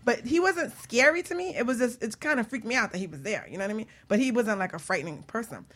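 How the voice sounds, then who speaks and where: A woman hosting a talk show, telling personal stories in an informal, animated and teasing way. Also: a little high, fast. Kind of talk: casual personal storytelling and chat.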